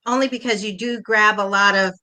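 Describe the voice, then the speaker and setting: A woman's voice: medium pitch, steady tone, a little fast, bright, forceful tone, low-quality recording. A woman who acts in community theatre, giving an informal panel answer over a video call.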